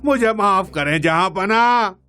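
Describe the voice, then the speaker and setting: A man's voice: high-pitched, takes it slowly, background noise. A man voicing an apologetic, troubled servant who pleads humbly in a cartoon story. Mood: pleading, ashamed.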